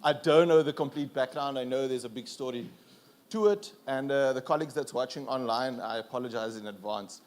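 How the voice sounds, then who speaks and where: A South African man whose first language is Afrikaans, giving a relaxed, self-deprecating conference presentation with fillers and restarts. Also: mid-range voice, fairly quick. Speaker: conference speaker.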